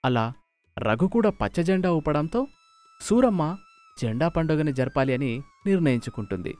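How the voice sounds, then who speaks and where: A man's voice: fairly high pitch, sing-song, moderate pace, a little mellow. A man reading scripted narration for a moral story. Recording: music behind the voice.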